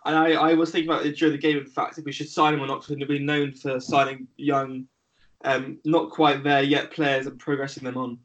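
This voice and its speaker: A man's voice: mid-range voice, little pitch movement, fairly quick, muffled recording. A young man co-hosting a casual podcast, mostly chipping in with brief, teasing remarks. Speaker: podcast co-host. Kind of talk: casual chat. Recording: voice set back from the mic.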